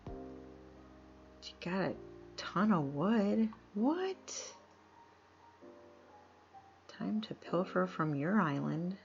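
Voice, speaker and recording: A chatty woman streaming a game, talking loosely and laughing often. Her voice sits a little low and comes through a dull, lo-fi mic. Faint music plays under her. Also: expressive, very slow, long pauses. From upstate New York. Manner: chatty, laughs often, reads chat aloud. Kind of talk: casual live-stream chat while playing.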